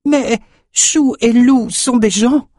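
A man's voice: extremely high pitch, slow pace, a little mellow, no room sound, clean studio sound, voice pushed up. A man voicing a stubborn, motherly old goat-herding woman in a fantasy audiobook. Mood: defiant, pleading.

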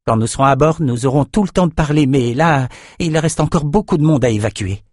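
A man voicing a calm, sensible military captain in a fantasy audiobook. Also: on the high side, brisk, no echo, clean studio sound, voice pushed up. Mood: measured.

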